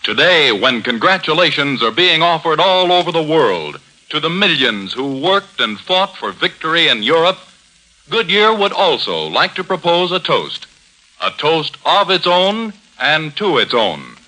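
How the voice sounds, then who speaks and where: Very sharp-voiced 1940s radio announcer man. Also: a little high, big pitch swings, measured pace, low-quality recording. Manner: declamatory, formal, emphatic. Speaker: sponsor announcer. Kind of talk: 1940s radio announcing, scripted patriotic message. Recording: vintage 1940s radio broadcast recording.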